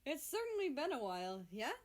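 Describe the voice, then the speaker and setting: A woman voicing a cheerful, teasing, warm-hearted male friend in a story reading. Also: high-pitched, big pitch swings, fairly quick, voice pushed up. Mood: friendly.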